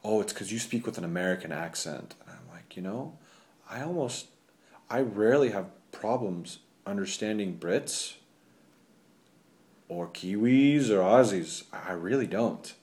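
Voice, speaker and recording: Low-voiced chatty male host. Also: animated, a little slow, a little mellow. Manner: chatty, rambling, laughs at his own stories. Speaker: podcast host. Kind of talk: casual conversation.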